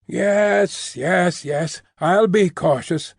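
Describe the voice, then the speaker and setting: A man's voice: sits high, very slow, creaky, breathy edge, no echo, studio quality, voice pushed up, full character voice. A man voicing a fussy, bustling Englishwoman housekeeper. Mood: emphatic. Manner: emphatic, bustling, prim.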